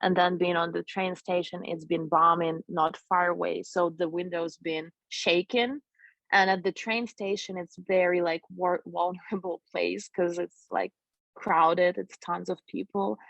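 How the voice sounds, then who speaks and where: A young woman, her Russian, speaks in an earnest, searching way, pausing to find her words. Her voice sits on the low side and sounds dry and close. It comes through as dull, lo-fi video call audio. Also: steady tone, vocal fry, a bit bright, dry recording. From eastern Ukraine. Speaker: interview guest. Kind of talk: reflective personal account.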